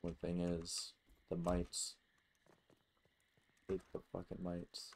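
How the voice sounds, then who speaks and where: A man giving casual, halting gameplay commentary as host, with some offhand swearing. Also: sits low, completely flat delivery, a little mellow. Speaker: gaming video host.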